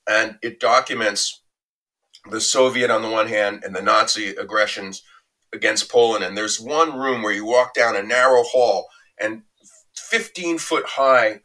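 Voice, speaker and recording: A man answering an interview question in a reflective, anecdotal way, measured and conversational. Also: fairly low pitch, bright, forceful tone, rough recording. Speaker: interview guest recounting a reporting trip.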